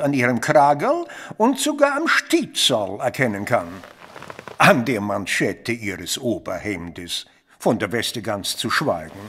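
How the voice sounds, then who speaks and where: A shrewd, jovial army officer with a Viennese accent in an audio drama. He draws words out slowly with hugely animated melody. His manner is teasing, theatrical and pleased with himself. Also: medium pitch, full character voice. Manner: teasing, theatrical, self-satisfied.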